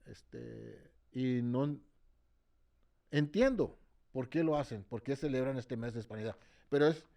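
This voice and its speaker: A man's voice: medium pitch, sing-song, a little slow, mellow. A man speaking passionately in an interview, often repeating words as he searches for the next phrase and checking in with the listener. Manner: switches between English and Spanish. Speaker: interviewee, march organizer. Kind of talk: impassioned interview talk.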